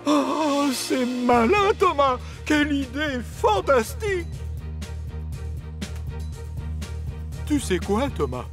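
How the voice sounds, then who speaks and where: A grumpy railway boss in a children's cartoon. His voice is very high and animated, full of blustery, exasperated sighs, with music underneath. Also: takes it slowly, heavily acted. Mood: impressed. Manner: blustery, sighing, theatrical. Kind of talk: French cartoon dubbing.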